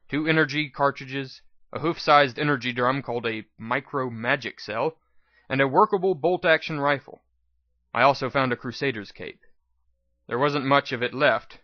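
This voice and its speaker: Male narrator, medium pitch, dramatic story reading, scripted first person, close dry acoustics. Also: no room sound, dull audio. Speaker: audiobook reader.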